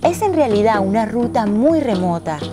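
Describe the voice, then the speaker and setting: A woman's voice: fairly high pitch, big pitch swings, normal speed, music in the background. A woman reading a scripted travel narration in the role of narrator and traveller.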